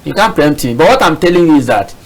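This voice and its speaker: A man's voice: fairly high pitch, sing-song, quick pace. A man from southeastern Nigeria with a Nigerian accent, speaking insistently as a studio guest in a political discussion and often repeating himself.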